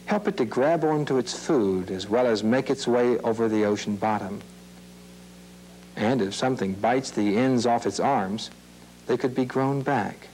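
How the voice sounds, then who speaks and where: A man narrates an old-fashioned educational nature film for young viewers. His voice sits at a medium pitch, and he explains things in plain, measured phrases. A light hum and hiss from an old tape soundtrack runs underneath. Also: a little mellow, low-quality recording. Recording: hissy, humming old videotape soundtrack.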